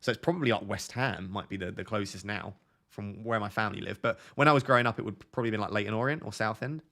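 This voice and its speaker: A man's voice: fairly low pitch, fast, creaky, fairly bright tone. A man in his twenties from Essex, with a toned-down Essex accent, chatting candidly on a live stream and answering viewers' questions, rambling through tangents and restarts. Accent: softened Essex English. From Essex, England. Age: 20s. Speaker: fantasy football streamer answering chat. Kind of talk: casual live-stream chat.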